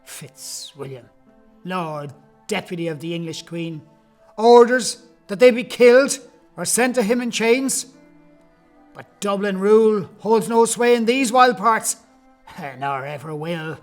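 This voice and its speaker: A proud, strong Gaelic chieftain, played by a man in an audio play. He declaims loudly, with command and defiance. There is faint music behind him. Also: high voice, expressive, unhurried. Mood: proud, defiant.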